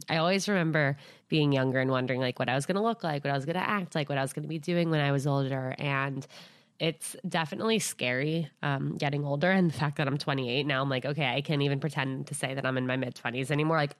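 New woman in her late 20s, podcast host, low voice, chatty, lots of "like" and "you know". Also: no echo, studio quality. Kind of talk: casual solo podcast intro.

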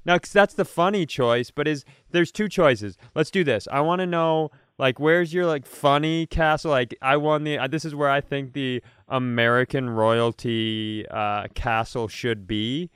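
A man with a smooth, clear voice at a middling pitch, recorded dry and close. He talks as a comedy podcast co-host, casual and rambling, pitching ideas with enthusiasm. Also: unhurried, few pauses, fairly bright tone, dry recording.

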